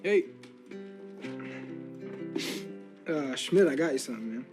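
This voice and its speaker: A man's voice: medium pitch, lively intonation, fast, over music, natural voice. A man acting a sentimental, defensive guy in a sitcom, rambling and repeating himself casually. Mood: sheepish. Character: sentimental, defensive, scruffy-mannered man, emotional with his friend. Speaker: actor in a TV sitcom.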